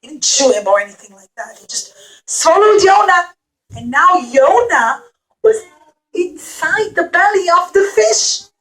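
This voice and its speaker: Female children's storyteller, high-pitched, teacherly and expressive, puppet-show narration with questions to young listeners. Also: unhurried, low-quality recording.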